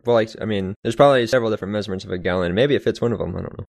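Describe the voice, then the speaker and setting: Low-voiced pedantic man. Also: very fast, vocal fry, a little mellow. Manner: pedantic, correcting others. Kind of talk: casual gaming chat.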